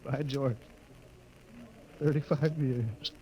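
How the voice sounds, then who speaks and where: An actor in a 1950s radio drama playing a stern, middle-aged spaceship captain, commanding and urgent. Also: male voice, medium pitch, drawn-out words, loud music behind the voice, poor audio. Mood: shaken, moved. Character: stern spaceship captain, suspicious, rattled by emotion.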